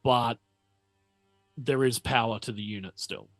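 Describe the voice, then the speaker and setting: A man narrating a tabletop game as game master, setting scenes in a halting, conversational way. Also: mid-range voice, no echo, soft background music.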